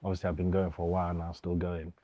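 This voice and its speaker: A man's voice: sits low, completely flat delivery, fast, no room sound, muffled recording. A young man, speaking informally with slang and repetition as a DJ being interviewed. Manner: informal, slangy, repeats himself. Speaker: DJ, interview guest. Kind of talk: casual documentary interview.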